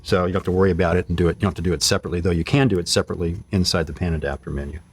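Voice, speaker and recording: A man's voice: low-pitched, steady tone, rapid-fire, breathy edge. A man giving an informal hands-on product demonstration, explaining things step by step and thinking aloud as he goes. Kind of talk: casual hands-on product demo.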